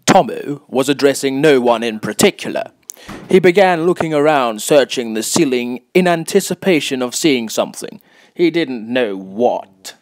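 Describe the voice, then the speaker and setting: A man's voice: medium pitch, lively intonation, moderate pace, close to an everyday voice. Wry, mocking male storyteller played by an actor. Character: wry taunting narrator, a voice inside a man's head. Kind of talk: scripted dramatic narration.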